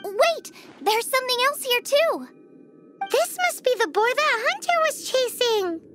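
A woman voicing a perky, excitable young girl in a video game, with bubbly, theatrical delivery. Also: extremely high pitch, a little slow, heavily acted. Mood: cheerful. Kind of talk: animated video game voice acting. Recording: loud game music under the voice. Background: loud game music.

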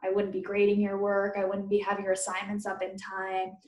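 A woman's voice: mid-range voice, monotone, fairly quick, darkish tone. A woman teacher reading a children's book aloud, stopping to question and explain things to her pupils. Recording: roomy indoor echo.

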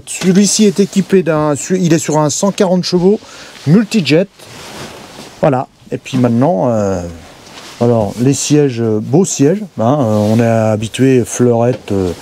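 A man giving a casual, halting walkthrough of a camper van, full of fillers as he points things out, recorded inside the van on a body-worn camera. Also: medium pitch, mellow, a little hiss or hum. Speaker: vlogger touring a camper van.

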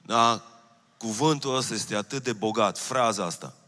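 Bright-voiced man preaching in a hall. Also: on the low side. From Romania. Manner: earnest preaching, repeats key phrases, gestures while speaking. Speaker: pastor. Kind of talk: sermon. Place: church stage, large hall. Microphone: handheld mic. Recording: long hall reverb.

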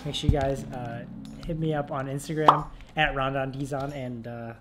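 A man's voice: mid-range voice, steady tone, moderate pace. A young man in his twenties chatting casually in a vlog, laid-back and rambling, with many fillers, filmed at a desk at home. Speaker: vlogger. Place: dim room at home, indoors. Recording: music playing behind.

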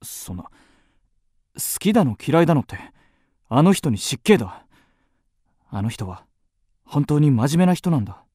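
An actor in a radio drama playing an earnest young student who is curt, guarded and formal. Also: male voice, on the high side, sing-song, moderate pace, long pauses, warm tone, husky, dry recording, studio quality. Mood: stiff, defensive. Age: young adult.

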